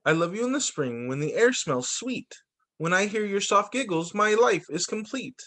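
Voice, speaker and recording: A man who teaches young children, reading picture books aloud in an expressive, sing-song storytime delivery. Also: sits high, moderate pace. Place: remote video call story session.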